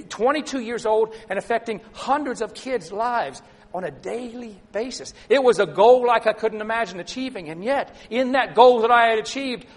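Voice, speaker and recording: A middle-aged man preaching a sermon, telling a personal story and asking the congregation questions, sometimes loud and sometimes soft. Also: high-pitched. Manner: conversational, asks the congregation questions, swings between loud and soft. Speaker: pastor.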